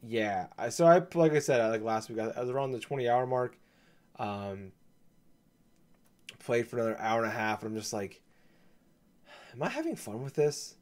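A laid-back man chatting on a gaming podcast, his voice slightly gravelly as if he is coming down with a cold. He is mid-pitched and hesitant, full of ums and false starts. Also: expressive, moderate pace, pauses often, darkish tone. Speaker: podcast co-host. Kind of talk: casual gaming chat.

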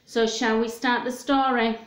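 Slow-speaking Englishwoman reading to children. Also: fairly high pitch, flat delivery. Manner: simple child-directed talk with questions. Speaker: children's storytime presenter. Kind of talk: reading a picture book aloud to children. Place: small room at home, indoors. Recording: roomy, echoey home recording.

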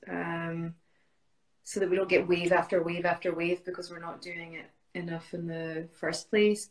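A woman's voice: fairly low pitch, low-quality recording. A woman in her forties from Scotland with a Scottish accent, chatting as a guest on a video call from home and reading out viewers' greetings. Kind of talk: casual video call chat. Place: home room indoors. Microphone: video call webcam.

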